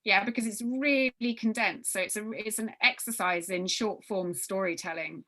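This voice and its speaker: A woman's voice: medium pitch, normal speed, fairly bright tone. A woman leading an informal briefing for writers, emphatic and repeating her phrases as she thinks aloud. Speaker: workshop leader briefing young writers. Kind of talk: informal spoken briefing with question and answer.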